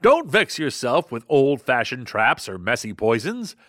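A bright, punchy vintage radio pitchman, his voice bouncing up and down with salesman cheer. The read is dry and close, with music under it. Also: very animated, measured pace, no echo. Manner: upbeat salesman patter. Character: slick male radio ad announcer. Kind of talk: vintage radio commercial announcing.

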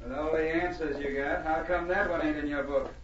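A man's voice: a little high, steady tone, a little fast, close to an everyday voice. Man playing a tough old-radio frontier crook. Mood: hurt, defiant. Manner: rough, slangy and ungrammatical. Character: tough male frontier crook, grumbling and defiant henchman. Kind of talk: 1940s radio acting. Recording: muffled old radio recording, faint noise.

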